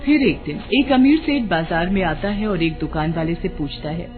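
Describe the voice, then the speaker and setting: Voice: medium pitch, normal speed, narrow, tinny audio, faint music behind. A narrator telling a scripted moral story for a cartoon.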